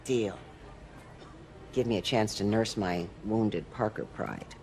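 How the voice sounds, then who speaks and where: A woman's voice: rumbling low, slow, a little mellow, a little hiss or hum, heavily acted. A woman playing a kindly, stubborn older aunt in a video game, motherly and reassuring. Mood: warm, weary. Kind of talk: naturalistic video game acting.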